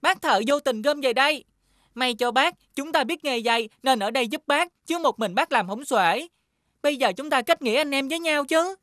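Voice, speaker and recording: A woman voicing a tiny, kind-hearted shoemaker who leads others in a friendly, earnest way in a children's animated fairy tale. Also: high voice, moderate pace, no room sound, studio quality. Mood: friendly, welcoming.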